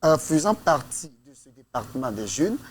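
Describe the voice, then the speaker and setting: Invitée togolaise à la voix grave et posée. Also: female voice, deep voice, big pitch swings. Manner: earnest, measured, with hesitations. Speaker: studio guest from a church youth group. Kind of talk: studio discussion.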